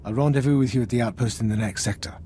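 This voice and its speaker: A man's voice: mid-range voice, very fast, mellow, slight background noise, close to an everyday voice. An actor playing a deferential, earnest military commander in a video game, speaking formally and apologetically as he explains. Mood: earnest briefing.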